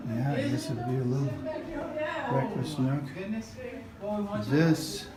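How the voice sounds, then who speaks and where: A man's voice: medium pitch, lively intonation, a loud crowd. A middle-aged man thinking aloud as he walks through a house at an open house, filming with a handheld camera. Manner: musing, halting, thinking aloud. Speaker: house-hunting vlogger. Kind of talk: casual walkthrough commentary. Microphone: handheld camera mic. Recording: echoey rooms, background noise.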